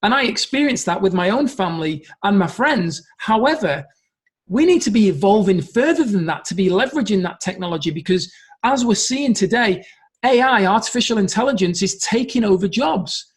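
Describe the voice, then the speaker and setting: A man's voice: high-pitched, animated, moderate pace. A middle-aged man giving an earnest motivational business talk, stressing points by repeating them. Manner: earnest, emphatic, repeats key phrases. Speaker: business coach.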